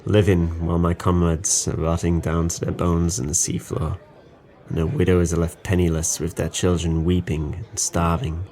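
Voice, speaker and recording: An actor playing a drunken, guilt-ridden former sailor in an audio drama, effusive, sentimental and rambling. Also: male voice, low voice, completely flat delivery, moderate pace, warm tone. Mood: maudlin, earnest.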